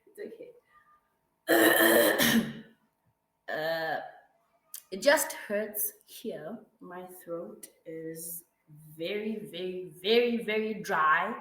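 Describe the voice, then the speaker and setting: A woman with a flu-roughened, raspy voice talks slowly and patiently as she walks a student through grammar. She checks in often to make sure the student is following. Her voice sits a little low and bounces around a roomy space. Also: fairly bright tone, a little hiss or hum. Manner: patient explaining with frequent checks like "right?" and "mm-hmm". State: hoarse with flu. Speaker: English tutor. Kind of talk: one-to-one online grammar lesson.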